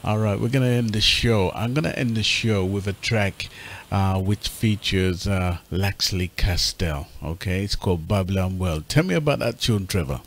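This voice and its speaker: A man hosting a radio talk show, giving appreciative, courteous shout-outs and sign-offs. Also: deep voice, normal speed. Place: online radio panel show.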